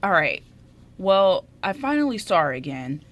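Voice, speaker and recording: A woman's voice: medium pitch, big pitch swings, moderate pace, a bit bright. A woman playing a shy, smitten young man in an amateur radio drama, speaking in a hesitant, stammering way. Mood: earnest, bashful.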